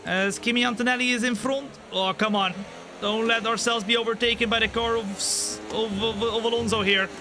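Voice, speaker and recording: Excitable young man calling a sim race. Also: a little fast. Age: 20s. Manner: excitable, jumps high when the race action happens, laid-back when chatting. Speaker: sim racing streamer. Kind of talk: live game commentary and chat reading. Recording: game music behind the voice. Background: race car engines and game music.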